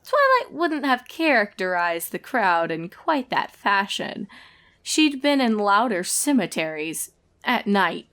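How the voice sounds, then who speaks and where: A woman narrates a lighthearted story at a middle pitch. It is scripted storytelling, told as a reader of comic fiction would. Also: big pitch swings, slow, a bit bright.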